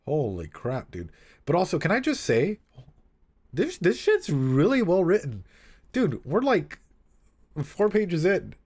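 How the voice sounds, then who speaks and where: A man streaming a casual read-aloud with commentary, talking in an animated, swearing way with frequent asides. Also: a little high, slow, long pauses.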